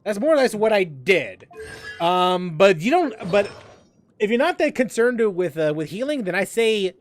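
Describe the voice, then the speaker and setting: A man's voice: high-pitched, very animated, moderate pace. A man streaming a game live, thinking aloud as he plays and answering viewers' questions in a loose, chatty way. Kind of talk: live gameplay commentary.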